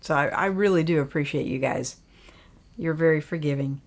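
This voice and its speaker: A woman's voice: sits low, moderate pace. An older woman, hosting a livestream and chatting casually in a folksy, rambling way as she reads out viewer comments. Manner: folksy, rambling, with frequent fillers. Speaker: livestream host.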